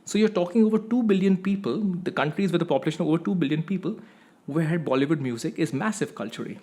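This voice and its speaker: A man's voice: fairly high pitch, lively intonation, fast, dark tone. A man from India, hosting a music channel and explaining his opinion step by step in an emphatic monologue.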